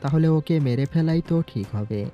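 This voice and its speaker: A man playing a young, coolly ruthless gangster boss in an audio story, speaking calmly and matter-of-factly. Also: fairly high pitch, a little fast, dull audio, natural voice. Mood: coolly menacing. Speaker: voice actor in an amateur audio story. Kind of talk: acted audio story dialogue. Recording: background music throughout.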